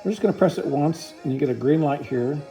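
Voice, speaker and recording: A man's voice: a little high, fast talker, dark tone, soft background music, poor audio. A man giving a casual, informal product review, explaining features plainly step by step.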